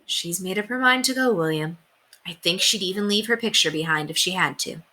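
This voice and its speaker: Woman reading as a caring, patient, bright-voiced mother. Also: fairly low pitch, fairly quick. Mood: reflective. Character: caring, gently reassuring mother. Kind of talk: children's storybook read-aloud.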